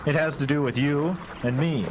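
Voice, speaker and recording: A man's voice: on the high side, steady tone, moderate pace, close to an everyday voice. Weary, humble working man male actor for radio drama: plain, sincere delivery over noisy watery ambience. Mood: resigned, earnest. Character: humble, weary caretaker worn down by a feud. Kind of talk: live radio drama acting.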